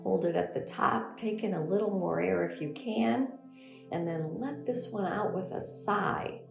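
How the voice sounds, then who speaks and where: A woman leading a guided meditation as a teacher, speaking in a gentle, soothing, unhurried instructional manner. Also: medium pitch, gravelly. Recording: roomy echo under background music. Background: meditation music.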